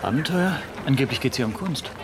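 An actor playing a wry, world-weary adventure companion in a radio drama, with a gravelly voice. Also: male voice, mid-range voice, animated, brisk, noisy background, close to an everyday voice. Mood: wry, resolved.

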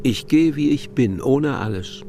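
Defiant man, emphatic, gravelly, dark tone, radio play. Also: medium pitch, animated, unhurried, soft background music. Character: defiant, resigned man refusing to pack. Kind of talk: German radio play acting.

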